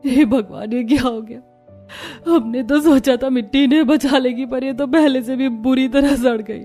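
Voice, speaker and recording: A woman's voice: sits high, moderate pace, mellow, soft background music. A woman voicing a worried, earnest young village daughter-in-law in a cartoon, anxious and pleading. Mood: despairing.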